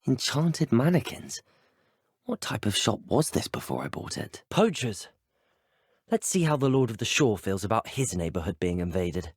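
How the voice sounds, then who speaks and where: Polite young British wizard student, animated. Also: male voice, medium pitch, no room sound, clean studio sound. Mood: bold, alert. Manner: polite, articulate, formal phrasing. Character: young male student wizard, polite, curious, earnest adventurer. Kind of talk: video game voice acting.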